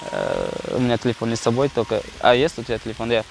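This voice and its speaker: A young man from Tajikistan with a Tajik accent, a street vlogger chatting with passers-by in halting Russian full of fillers and restarts. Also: mid-range voice, wildly swooping pitch, vocal fry, noisy background. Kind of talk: casual street chat and singing. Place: outdoors in a city park.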